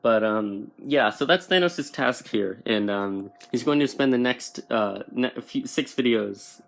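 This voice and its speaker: A man presents an informal comics explainer in a voice of average pitch. He speaks haltingly, feeling his way toward each phrase as he goes. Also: animated, creaky. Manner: halting, searching for words. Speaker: YouTube comics explainer host.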